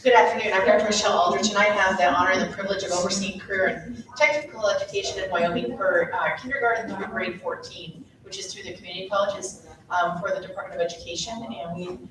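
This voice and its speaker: A state education official speaking formally on a conference panel. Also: female voice, medium pitch, steady tone, quick pace, a crowd in the background. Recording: echoey hall, mic at a distance, audience present.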